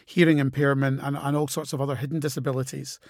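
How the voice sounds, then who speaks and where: A man hosting an interview, measured and conversational, with many you-knows and agreeing replies. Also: mid-range voice, little pitch movement, no echo, clean studio sound. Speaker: host.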